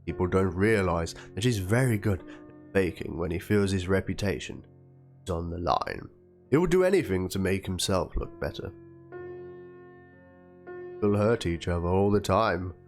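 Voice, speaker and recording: A man's voice: low voice, expressive, normal speed, a little mellow. A man doing a dramatic reading as a wounded young woman, plaintive and theatrical, spelling out chat slang. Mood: hurt, accusing. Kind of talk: dramatic reading of a written document and chat logs. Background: guitar music.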